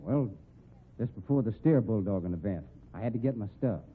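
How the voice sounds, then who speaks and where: An actor with an American accent playing a menacing, hot-tempered rodeo cowboy in old radio drama, with a rough voice and rustic drawl. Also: male voice, fairly low pitch, expressive, moderate pace. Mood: sullen. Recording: tinny, narrow-band old radio recording.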